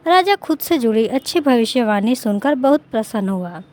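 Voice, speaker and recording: A woman's voice: a little high, expressive, normal speed, darkish tone. A woman reading a scripted moral story as a narrator.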